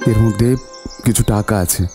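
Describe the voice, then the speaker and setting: An earnest young village friend in a cartoon drama, acted out by a performer. He is caring and insistent, speaking in short halting phrases over clear background music. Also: medium pitch, steady tone, natural voice. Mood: concerned.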